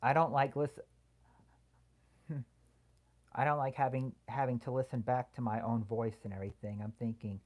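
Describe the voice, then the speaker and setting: A soothing voice at a middle pitch, belonging to a livestream host who chats casually with viewers. The speech is halting and hesitant. Phrases restart often as the host answers comments one by one. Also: flat delivery.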